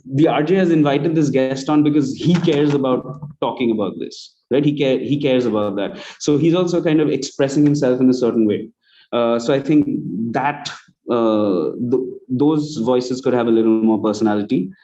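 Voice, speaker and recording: A man's voice: mid-range voice, normal speed, mellow. A man with an Indian accent giving conversational spoken feedback as a judge on an online call. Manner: conversational, acts out example lines. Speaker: judge giving feedback on student audio plays. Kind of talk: spoken critique on an online event. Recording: dull, lo-fi online call audio.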